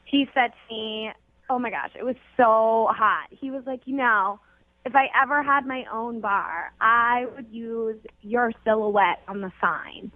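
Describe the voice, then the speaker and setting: High-voiced, self-assured young American woman caller. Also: unhurried. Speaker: radio show caller. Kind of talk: casual chatty storytelling. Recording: dull lo-fi phone call.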